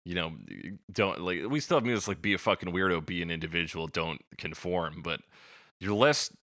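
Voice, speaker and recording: A man's voice: deep voice, fairly quick, sharp tone, no echo, clean studio sound. A man co-hosting a podcast in a casual, slangy, opinionated way, often putting questions to his partner. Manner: conversational, opinionated, probing with questions. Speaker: podcast co-host.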